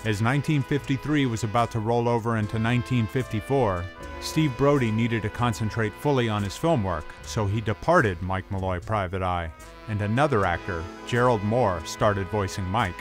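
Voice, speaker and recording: A middle-aged man narrates a scripted film documentary in a low voice, with music faintly behind him. His wording is colloquial, salted with hardboiled slang. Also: normal speed. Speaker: host, tough guy film expert.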